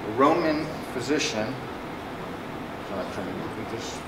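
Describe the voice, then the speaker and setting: A man's voice: medium pitch, expressive, unhurried. A middle-aged man, a psychiatrist, giving a conversational conference talk with jokes and many fillers. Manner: conversational, joking, frequent fillers and restarts. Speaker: psychiatrist presenting at a symposium. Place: conference room with projector screen. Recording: echoey room, distant mic, air conditioning hum.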